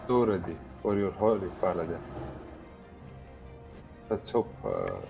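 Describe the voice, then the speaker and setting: A sleepy man answers a friend in quiet, brief, drowsy replies. His voice sits low and warm, with faint music underneath. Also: wildly swooping pitch, measured pace, creaky. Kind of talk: casual chat.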